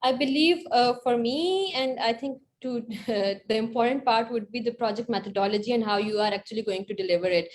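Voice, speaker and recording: A woman's voice: fairly high pitch, a little fast, few pauses, slight background noise. A woman giving explanatory advice as a panelist, repeating and rephrasing her points. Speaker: panelist, grant recipient.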